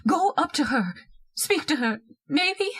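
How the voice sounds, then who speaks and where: A domineering wife in an old-time radio horror play. Her voice is very high and swings dramatically. She scolds and orders people about with histrionic, haunted urgency. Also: animated, measured pace, a bit bright. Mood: fearful, insistent. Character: shrill domineering wife, frightened by a ghostly intruder.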